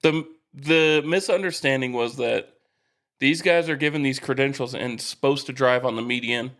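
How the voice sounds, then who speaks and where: A man's voice: mid-range voice, moderate pace, fairly bright tone. A man co-hosting a sports podcast, telling stories in casual, colloquial, laid-back chat.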